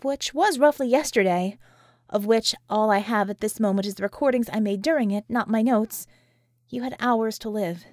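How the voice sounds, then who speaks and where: A woman playing a sardonic, sharp-tongued, weary woman with a wry, emphatic manner in an audiobook. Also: a little high, no room sound, clean studio sound, lightly acted.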